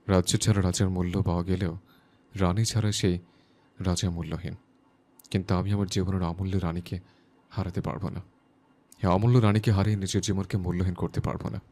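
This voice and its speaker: A man's voice: low-pitched, little pitch movement, a little fast, long pauses, warm tone. A man playing a lovestruck, stubborn young teacher who talks intensely to himself in an audio story. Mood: earnest devotion. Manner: intense self-talk, scolding and urging himself. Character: lovestruck, stubborn young male teacher driving himself through a sleepless night. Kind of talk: dramatised audio story acting.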